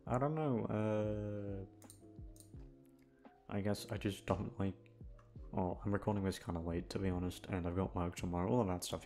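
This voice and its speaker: Casting: young male voice actor in casual outro chat, low-pitched, creaky, rambling and unsure of himself. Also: dark tone. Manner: rambling, self-conscious, hesitant. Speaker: audio roleplay voice actor.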